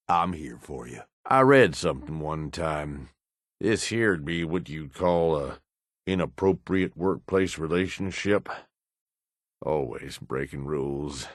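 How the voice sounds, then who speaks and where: An actor playing a roguish, cynical but loyal raider in a video game, with a folksy drawl. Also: male voice, sits low, no room sound, clean studio sound. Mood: relaxed, teasing. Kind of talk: video game companion dialogue.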